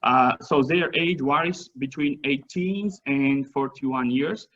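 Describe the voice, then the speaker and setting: A man from Georgia, a native Georgian speaker, presents his research in English at a seminar. He pauses often with fillers and restarts words as he goes. His voice is mid-pitched and comes through dull, lo-fi audio. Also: a bit bright. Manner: halting, many fillers and restarts. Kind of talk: academic presentation.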